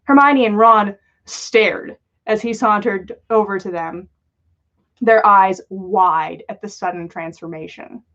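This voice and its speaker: A woman's voice: medium pitch, slow, a bit bright. A woman reading a story aloud as a livestream host, with lo-fi sound. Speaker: podcast host reading fan fiction aloud. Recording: dull lo-fi livestream audio.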